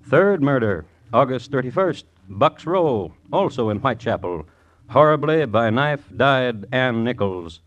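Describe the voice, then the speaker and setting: Casting: male vintage radio host, formal deadpan with expressive pitch turns, wry crime narration. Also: measured pace, highs cut off.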